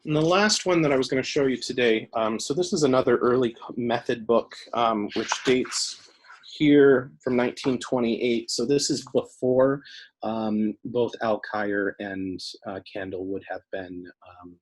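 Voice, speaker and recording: A man giving an informal, halting and thoughtful explanation of archive items as an interviewed staff member. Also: medium pitch, rough recording.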